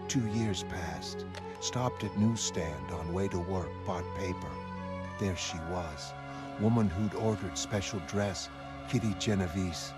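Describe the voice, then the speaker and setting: A male actor plays a grim vigilante behind bars with a low voice. He speaks slowly in clipped fragments, hostile and detached, as in a dark comic-book drama with music behind him. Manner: clipped, terse sentence fragments, flat menace. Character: grim, hostile masked vigilante prisoner, middle-aged man. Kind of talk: motion comic voice acting.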